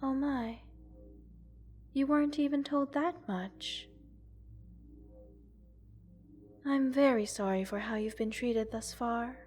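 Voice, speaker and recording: A gentle, curious alien researcher, acted by a woman in an ASMR roleplay, with a fairly high voice. She speaks very slowly, in formal and precise wording, softly reassuring the one she studies. Music plays underneath. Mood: apologetic, gentle. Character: gentle, curious multi-world alien scientist studying a captive human. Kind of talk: ASMR roleplay, addressing the listener.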